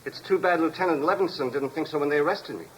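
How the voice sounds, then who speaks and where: An actor playing a deranged escaped convict, calm, polite and coldly menacing, in the style of a 1950s radio drama. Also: male voice, on the high side, fast talker, close to an everyday voice. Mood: calmly menacing. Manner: polite, composed, coldly precise. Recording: noisy vintage radio recording.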